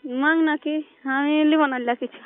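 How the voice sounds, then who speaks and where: A woman's voice: sits high, steady tone, a little slow, no echo. A woman chatting casually and flirtatiously on a phone call in a rural Bengali dialect. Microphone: telephone. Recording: muffled narrow-band phone call.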